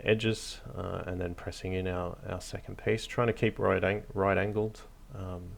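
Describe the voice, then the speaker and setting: A man hosting a hobby tutorial, narrating casually off the cuff in a hesitant, low-key, encouraging way. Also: level tone, normal speed, gravelly. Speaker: hobby craft tutorial host.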